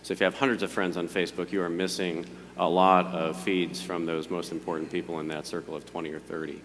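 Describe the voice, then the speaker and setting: A man pitching his startup product to judges, eager and quick, repeating his words. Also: level tone, a bit bright, big-room sound. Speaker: startup founder presenting.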